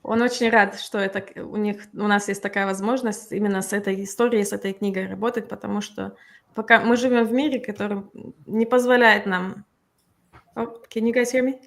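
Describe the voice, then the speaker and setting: A woman's voice: mid-range voice, fairly even intonation, a little fast, vocal fry. A woman presenting and interpreting in an informal, earnest, conversational way at a live book discussion.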